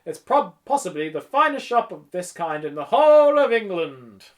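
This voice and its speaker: Children's audiobook shopkeeper, male reader: jovial salesman, effusive, raised and very animated delivery. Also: sits high, normal speed, voice pushed up. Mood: effusive sales patter. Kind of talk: children's audiobook character reading.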